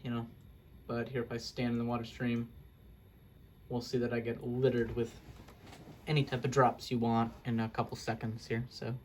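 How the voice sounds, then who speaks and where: A man's voice: mid-range voice, completely flat delivery, normal speed, slight background noise. A young man casually walking through a game build, rambling and correcting himself with self-deprecating asides. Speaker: Minecraft video creator. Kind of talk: casual gameplay walkthrough.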